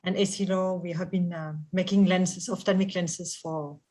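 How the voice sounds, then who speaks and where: A woman's voice: lowish voice, steady tone, normal speed, warm tone. French-accented woman introducing herself. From France. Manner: hesitant, many fillers. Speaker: course participant, research manager.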